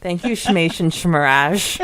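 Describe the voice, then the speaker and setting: Woman, podcast co-host, casual chat, giggly, animated pitch, leisurely pace. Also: background noise. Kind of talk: casual podcast chat, reading out listener comments.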